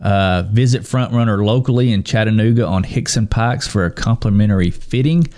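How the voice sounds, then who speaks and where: Relaxed low-voiced male co-host, joking chat with lots of fillers. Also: fairly quick. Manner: easygoing, joking, filler-heavy. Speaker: ministry founder and co-host. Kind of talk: casual chat and sponsor read.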